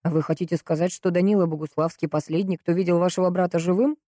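A polite police investigator from a detective audiobook, played by a woman narrator, questioning with puzzled scepticism. The delivery is measured and mellow, in a dry recording. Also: on the low side, fairly even intonation, dry recording, lightly acted. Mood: probing.

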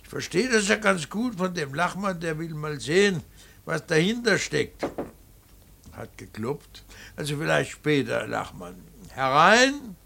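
A gravelly, brooding old painter. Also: male voice, on the high side, sing-song, bright, forceful tone, slight background noise, exaggerated voice. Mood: subdued, gruff. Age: older. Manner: halting, repeating words, emphatic. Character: brooding, devout older painter and professor, stern father. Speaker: actor in a radio play. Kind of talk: classic German radio drama acting.

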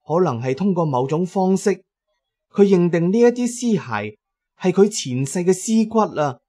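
A woman's voice: lowish voice, animated, moderate pace, mellow, pitched above the performer's own voice. A man voicing a serious, watchful female tomb explorer in a radio drama. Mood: solemn, pondering.

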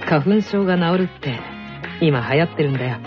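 A woman's voice: sits low, animated, fairly quick. A woman playing a friendly, helpful companion coaxing someone along in a radio drama skit. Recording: dull sound under loud guitar music.

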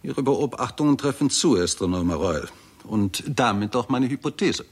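An actor playing a confident, impatient British astronomy professor in a 1960s German radio drama, speaking precisely and insistently. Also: male voice, mid-range voice, expressive, moderate pace, breathy edge. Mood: matter-of-fact.

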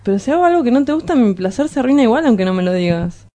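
A woman's voice: a little high, very animated, rapid-fire, warm tone. A woman with an Argentine accent playing a needy, teasing, kinky young lover who wheedles in a radio play. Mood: concerned.

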